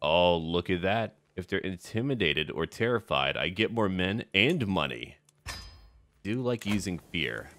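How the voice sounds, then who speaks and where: A man's voice: low voice, expressive, a little slow, a bit bright, no room sound. A man hosting a roleplay strategy game video, musing aloud in short, clipped remarks. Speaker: gaming video host.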